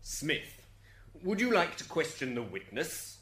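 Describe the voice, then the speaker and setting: A man's voice: on the high side, very animated, takes it slowly, fairly bright tone, slight background noise, exaggerated voice. A man playing a curt, impatient judge of heaven in an amateur radio drama. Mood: curt, weary. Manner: commanding, curt.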